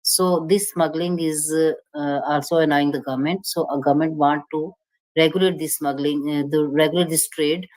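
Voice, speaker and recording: A woman explaining at length at a panel discussion, pausing often and restarting her sentences. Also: deep voice, fairly even intonation, a little mellow, rough recording. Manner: hesitant, many fillers and restarts, explanatory. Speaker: panel speaker.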